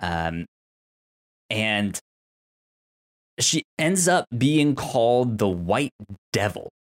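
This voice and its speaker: A man co-hosting a casual podcast discussion, explaining things haltingly and stuttering on words with repeated syllables. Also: medium pitch, big pitch swings. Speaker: podcast host.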